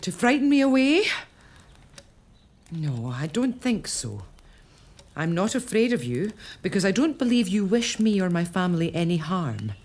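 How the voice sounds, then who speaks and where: An actress playing a composed early-1800s lady of a castle, speaking in a measured, gently defiant way. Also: fairly low pitch, very animated. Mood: reflective. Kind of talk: spoken monologue to a ghost.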